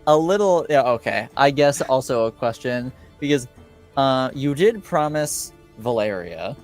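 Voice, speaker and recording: Slow-talking game master. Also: male voice, medium pitch, lively intonation, faint music behind. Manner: unhurried, prompting, asks questions. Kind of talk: actual-play tabletop roleplay.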